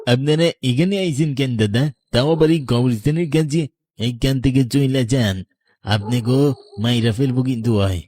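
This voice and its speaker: An ominous tea-seller acted by a man speaks in a with a mid-pitched voice. His delivery is urgent and warning, in horror cartoon style, recorded very dry. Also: normal speed, a little mellow, no echo, natural voice. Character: ominous male graveyard tea-seller warning visitors away.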